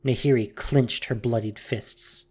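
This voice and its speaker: A narrator reading a dramatic audio story theatrically, with deliberate pauses and hushed emphasis, in a scripted style. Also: mid-range voice, fairly even intonation, slightly rough. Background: music.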